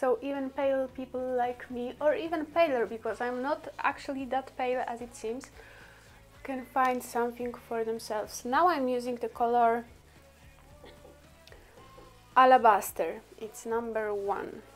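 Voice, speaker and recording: A woman's voice: on the high side, steady tone, moderate pace, soft background music. A woman whose first language is Polish, chatting through a makeup tutorial with many fillers and laughs. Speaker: beauty vlogger.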